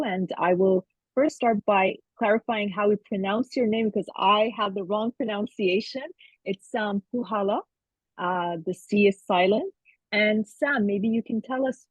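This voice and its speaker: Husky woman host on a tinny call. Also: medium pitch, dry recording. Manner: warm, halting questions with many fillers. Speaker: interview host. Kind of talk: casual interview. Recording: tinny phone-like remote call.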